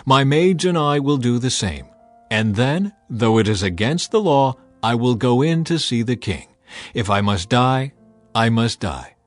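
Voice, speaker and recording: A man voicing a resolute young queen in a solemn, measured manner for a dramatized scripture reading. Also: mid-range voice, sing-song, soft background music. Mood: solemn resolve.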